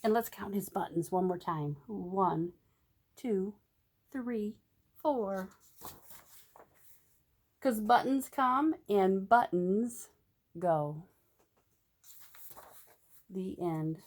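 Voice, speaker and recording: A woman reading a picture book aloud like a teacher to young children, animated and drawing out words, with questions to the listener and sing-song phrasing. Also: medium pitch, drawn-out words, pauses often.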